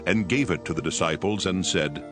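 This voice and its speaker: A man with a very low voice narrates scripture from a script. Prominent music runs behind his reading. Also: brisk.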